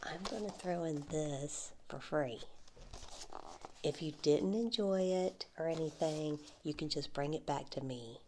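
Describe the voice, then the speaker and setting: A woman in an ASMR roleplay as a friendly flea market vendor, speaking softly, often slipping into whispers, with light frequent laughs. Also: deep voice, a little slow, a little hiss or hum.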